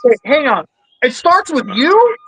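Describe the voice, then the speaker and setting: A woman in her thirties in casual stream chat, brisk and teasing. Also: high-pitched, very animated, poor audio. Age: 30s. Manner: teasing, brisk, calls people buddy.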